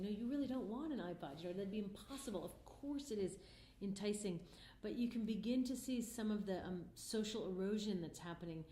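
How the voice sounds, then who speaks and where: A woman's voice: mid-range voice, mellow. A middle-aged woman, a filmmaker, answering interview questions in an earnest, passionate, conversational way. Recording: roomy echo, faint background noise.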